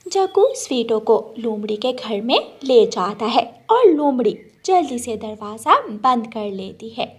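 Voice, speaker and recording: A woman's voice: on the high side, animated, measured pace, slightly rough. A woman narrator reading a scripted moral story for children.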